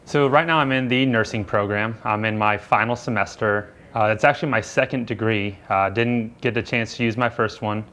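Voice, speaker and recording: A man's voice: fairly low pitch, normal speed, hardly any pauses, a bit bright. A young man, a nursing student, speaking earnestly and reflectively in an interview, with frequent fillers. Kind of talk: documentary interview. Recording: music playing underneath.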